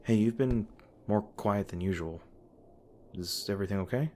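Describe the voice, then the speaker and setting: A man playing a weary, guarded monster hunter in an audio roleplay, gruff but caring, with halting, sardonic, sweary speech. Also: lowish voice, dark tone, lightly acted. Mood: wry, casual. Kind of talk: audio roleplay, one-sided dialogue to the listener. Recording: noisy ambient sound effects behind voice. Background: ambient sound effects.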